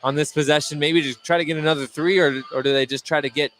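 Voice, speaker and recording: Play-by-play man, American, fairly high voice, live basketball radio call from a loud gym. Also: steady tone, fast talker. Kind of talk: live radio sports commentary. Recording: noisy gym ambience, bouncing basketballs. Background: busy gymnasium with basketball bounces.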